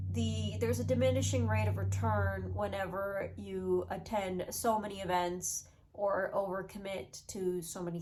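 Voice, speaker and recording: A woman's voice: medium pitch, slight background noise. A young woman with a sick, nasal voice, talking earnestly to her channel and giving reflective advice in a casual vlog. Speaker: YouTuber.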